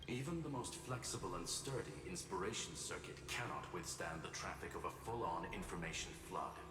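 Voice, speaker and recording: Deep-voiced, clinical game intellectual. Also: male voice, animated, a little fast, background noise. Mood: clinical, measured. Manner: formal, precise, clinical. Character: coolly logical male intellectual, formal and analytical. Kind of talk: video game character acting. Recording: distant, echoey hall, loud music. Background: loud game music.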